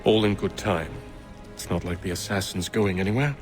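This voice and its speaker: Actor as a low-voiced schemer, music behind. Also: male voice, natural voice. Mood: scheming. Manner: condescending, deliberate, sly. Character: cold scheming gentleman officer, villain's henchman. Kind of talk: video game cutscene acting.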